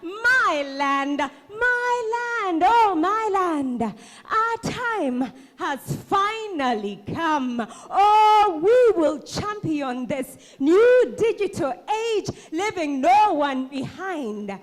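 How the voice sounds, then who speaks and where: A woman performing spoken word on stage, declaiming with passion, dramatic pauses and repetition. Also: very high-pitched, very animated.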